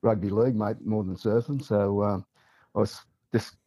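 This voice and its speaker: An Australian man with a lowish, mellow voice talks easily as a guest on a podcast. His delivery is relaxed and chatty, and the sound is dry and close. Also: normal speed, breathy edge, dry recording. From Newcastle, Australia. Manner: relaxed, chatty, says mate often. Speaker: guest, former rugby league player. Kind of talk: casual podcast interview. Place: on the line for a podcast.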